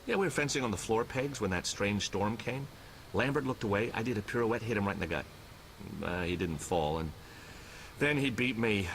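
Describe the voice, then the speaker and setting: Eager young warrior trainee, lowish voice. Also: male voice, lively intonation, normal speed, a bit bright, slight background noise, low-quality recording. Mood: proud, eager. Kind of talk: video game dialogue.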